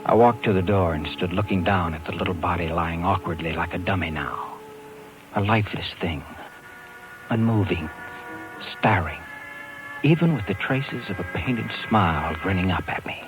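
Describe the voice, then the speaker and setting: An actor playing a shaken talent agent who narrates a 1950s radio thriller in a grave, dramatic way. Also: male voice, low voice, moderate pace, music in the background, lightly acted, rough recording. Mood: grave, haunted. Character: shaken male talent agent recounting a horror. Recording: vintage 1950s radio recording.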